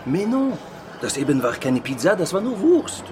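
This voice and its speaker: An actor playing an easygoing, playful French journalist with a French accent in a radio drama. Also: male voice, on the high side, big pitch swings, normal speed, background noise.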